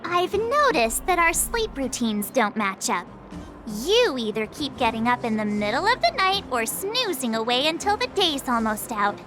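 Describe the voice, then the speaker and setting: A woman's voice: high-pitched, big pitch swings, moderate pace, over music. A woman voicing a perky, bossy teenage girl adventurer in an anime game, with a peppy, scolding manner. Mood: mock scolding.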